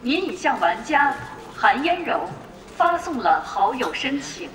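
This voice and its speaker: High-pitched game system announcer. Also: female voice, sing-song, measured pace, reverberant, low-quality recording. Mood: formal. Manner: formal announcing. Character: polite female online game system announcer.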